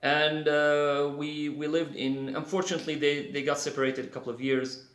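A man, a guest in a casual interview, talking haltingly with many fillers and restarts. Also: fairly high pitch, little pitch movement, fairly bright tone, echoey room. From Syria. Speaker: guest, CG artist.

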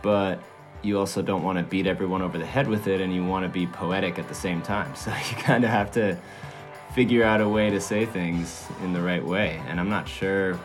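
A man's voice: deep voice, steady tone, fairly quick, music in the background. A man who grew up in Switzerland, a musician guest answering in a laid-back way with light laughs. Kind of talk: casual interview chat.

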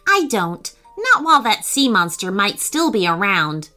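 A woman's voice: medium pitch, sing-song, a little slow, bright, forceful tone, faint music behind. A woman voicing a brave, cheeky female dog with an eager, breezy manner in a children's story. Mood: chatty, contrite. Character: brave, cheerful, cheeky female dog, magically grown huge.

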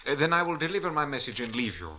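Bright-toned, obsequious count's secretary. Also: male voice, fairly high pitch, sing-song, rapid-fire. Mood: polite, submissive. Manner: polite, formal, deferential. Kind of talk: 1940s radio drama acting. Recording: noisy old radio recording with clicks.

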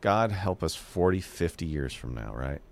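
Deep-voiced man, lively intonation. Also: moderate pace, vocal fry. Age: 40s. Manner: joshing, curious, asks follow-up questions. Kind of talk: casual chat.

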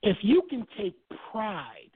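A man's voice: high voice, very animated, husky, dry recording. Man from Barbados on a phone line. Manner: measured, repeats points for emphasis. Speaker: guest author. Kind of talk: phone-in interview. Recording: tinny phone line, dry.

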